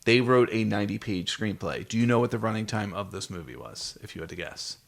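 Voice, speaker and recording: Male podcast co-host, low gravelly voice, casual and explanatory, talking film trivia in a conversational way. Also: expressive, fairly quick. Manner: explanatory, quizzing his co-host.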